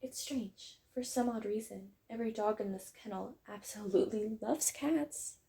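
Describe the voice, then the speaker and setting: A woman playing a friendly, wholesome tomboy dog girl who runs a kennel, talking in a gentle, encouraging, chatty way in a roleplay audio. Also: medium pitch, moderate pace, gravelly, close to an everyday voice. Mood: friendly, explaining. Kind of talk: roleplay audio, acted one-sided conversation.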